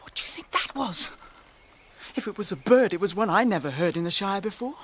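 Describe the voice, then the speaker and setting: An actor playing a cheerful, sociable young gentleman hobbit in a radio drama, chatty and eager, with an English accent. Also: high voice, lively intonation, brisk, slight background noise. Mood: grumbling, curious.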